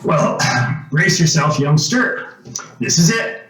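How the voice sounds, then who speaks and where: A man playing an avuncular, folksy, smooth-talking old man in old-time radio drama style. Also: on the high side, expressive, slow, fairly bright tone, far from the mic. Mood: bracing, hearty. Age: older. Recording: echoey room, off-mic, faint music.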